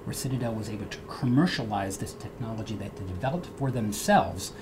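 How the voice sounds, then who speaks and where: Man, middle-aged, quick speech, fairly low voice, earnest, noisy background. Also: lively intonation, over music. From United States. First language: Portuguese. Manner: earnest, repeats words when starting. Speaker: guest. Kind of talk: interview conversation.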